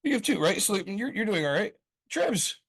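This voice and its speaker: A man's voice: high-pitched, big pitch swings, a little fast, a bit bright, breathy edge. A man rambling casually on a podcast as a guest, swearing freely, with lots of ums and restarts. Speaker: guest explaining business topics.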